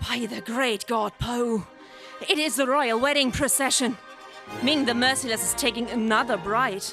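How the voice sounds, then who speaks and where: A woman's voice: a little high, normal speed, music in the background, natural voice. A woman playing a fierce, noble queen of a lion people, with grand, archaic declamation in a 1930s radio serial style. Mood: grave.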